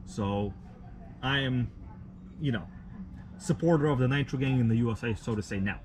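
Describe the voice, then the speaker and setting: A man from Russia, a native Russian speaker, hosting a casual live stream outdoors at night, rambling in a relaxed, slangy way with many fillers. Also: medium pitch, moderate pace, background noise. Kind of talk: casual live stream chat, reading viewer comments.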